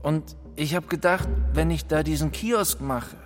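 An actor playing a guilty, hapless young drifter in a radio drama, colloquial and sheepish. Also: male voice, fairly high pitch, unhurried, lightly acted. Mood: remorseful. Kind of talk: German radio drama acting. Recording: reverberant hall with music behind.

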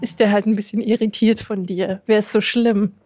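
Casual woman host, medium-pitched voice. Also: flat delivery, moderate pace, noisy background. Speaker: podcast host. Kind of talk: casual conversational interviewing.

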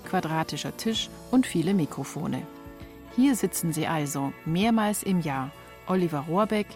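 A woman narrates a radio feature as its reporter, reading from a script. Her voice sits fairly low, with music underneath. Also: a little mellow.

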